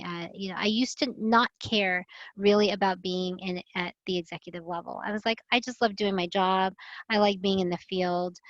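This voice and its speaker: A woman's voice: medium pitch, dry recording. Woman panel guest, earnest and reflective, dry lo-fi call audio. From Philippines. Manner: earnest, reflective, many fillers. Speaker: guest, health and safety expert. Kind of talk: live online panel talk. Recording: dull lo-fi video call audio.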